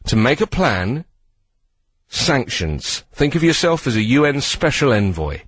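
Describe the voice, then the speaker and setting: A man with a British accent hosting a radio phone-in, giving earnest conversational advice and posing questions in a measured way. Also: medium pitch, sing-song, a bit bright, husky, dry recording. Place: radio studio.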